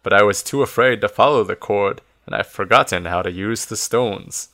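A man voicing a tiny ancient hermit from a storybook, faltering, excitable and forgetful. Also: fairly low pitch, pitched below the performer's own voice. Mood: rambling, excited. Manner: excitable, halting.

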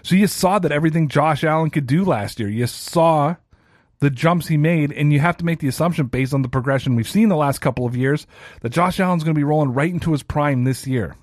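A man's voice: a little high, brisk, no echo, clean studio sound. A man in his thirties hosting a solo sports podcast, talking casually and ranting with a self-deprecating streak. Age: 30s. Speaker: podcast host.

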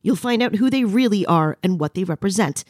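A woman's voice: mid-range voice, animated, brisk, a little mellow, dry recording, clean studio sound. A woman podcast host reading a scripted promotional spot.

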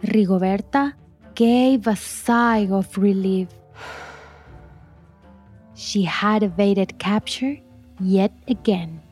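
Woman narrator for a life story: unhurried, deliberate read, mid-pitched, faint music underneath. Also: a little mellow, husky. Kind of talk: scripted children's biography narration.

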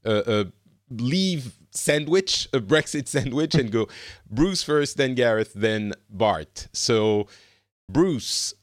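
A man with a medium-pitched voice hosts a casual discussion. He sounds close and dry, with no room around him. He gropes for words in a halting, chuckly way. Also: expressive, no room sound. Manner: hesitant, searching for words, often chuckling. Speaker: podcast host moderating a panel.

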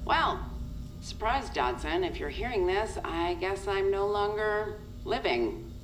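An actress playing a weary, remorseful senior agent recording a halting, wry confession in an audio drama. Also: female voice, medium pitch, sing-song, background noise. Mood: wry, irritated. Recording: roomy tape recording under music.

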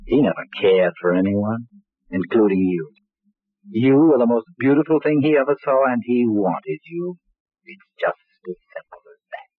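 An actor playing a resentful, defiant lover, blunt and insistent, in an old radio melodrama. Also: male voice, medium pitch, normal speed, no room sound, natural voice. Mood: bitter, blunt. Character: bitter, defiant male lover, resentful of rich cousin. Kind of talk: 1940s radio melodrama acting. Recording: dry, tinny old radio recording.

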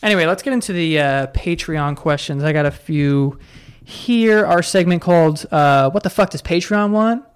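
A man's voice: on the high side, normal speed, clear, resonant tone. A man in his twenties co-hosting a podcast, talking casually in a loose, rambling way with frequent restarts. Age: 20s. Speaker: podcast co-host. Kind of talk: casual chat.

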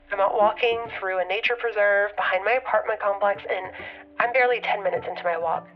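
A woman's voice: mid-range voice, lightly acted. Actress doing a grieving anxious american lady rambling earnestly on a voicemail, audio drama, kinda muffled w music under. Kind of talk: naturalistic audio drama monologue, confessional. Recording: muffled lo-fi phone message with music behind.

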